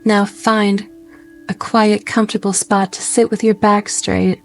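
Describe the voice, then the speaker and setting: A woman guiding a meditation in a gentle, soothing way, speaking in slow phrases with pauses. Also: medium pitch, level tone, faint music behind. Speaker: meditation guide. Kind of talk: guided meditation narration.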